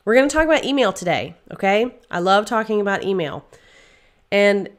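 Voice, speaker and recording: A woman hosting her own podcast in a casual, chatty way, sounding nasal and stuffy from a head cold, upbeat and playfully sarcastic. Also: medium pitch. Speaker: podcast host. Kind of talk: casual solo podcast talk.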